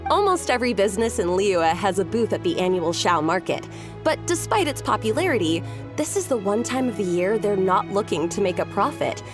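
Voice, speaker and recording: A woman's voice: mid-range voice, sing-song, a little fast, lightly acted. A woman playing a friendly, proud festival worker in a video game, explaining things chattily to newcomers. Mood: friendly, informative. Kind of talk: video game character acting. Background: music.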